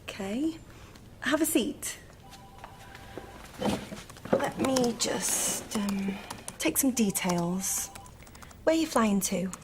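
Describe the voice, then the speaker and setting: A woman's voice: fairly high pitch, expressive, extremely slow, pauses often, background noise. An actress playing a courteous but doubtful travel agent in an audio drama, businesslike and slightly hesitant with her questions. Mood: polite, doubtful. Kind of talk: naturalistic audio drama acting.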